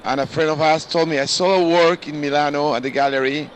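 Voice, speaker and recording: A man tells informal anecdotes as a collector. His voice sits a little high. Clear ambient noise from a busy hall surrounds him. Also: level tone, a little fast. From Peru. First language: Spanish. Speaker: art collector. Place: large indoor art fair hall.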